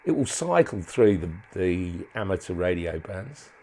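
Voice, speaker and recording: A man giving a casual, unscripted walkthrough as a hobbyist presenter, thinking aloud in a halting way. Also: low voice, sing-song, darkish tone, slight background noise. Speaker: hobbyist presenter demonstrating a modified radio.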